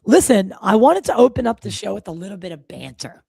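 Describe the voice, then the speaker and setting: A man with a gravelly, slightly hoarse voice, hosting a podcast in loose, casual, swearing chat full of fillers. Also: high-pitched, very animated, fairly quick, warm tone, no room sound, clean studio sound. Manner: loose and profane, lots of fillers. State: a bit hoarse from a cold. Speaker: podcast host.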